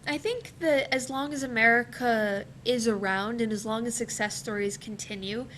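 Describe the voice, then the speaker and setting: A woman's voice: on the high side, normal speed, a bit bright, slight background noise. A young woman student answering on a discussion panel, earnest and idealistic, building long emphatic sentences. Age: teens to young adult.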